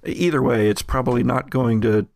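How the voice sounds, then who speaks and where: A man narrating an improvised tabletop horror game as game master, telling it in a halting, suspenseful way. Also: medium pitch, creaky, darkish tone, no echo, clean studio sound.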